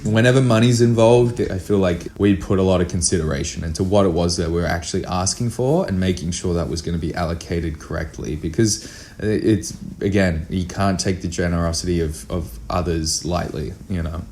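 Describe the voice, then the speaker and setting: A man's voice: low voice, brisk, hardly any pauses, darkish tone, a little hiss or hum. A man speaking as a filmmaker guest in a casual interview, earnest and emphatic, often repeating himself for emphasis.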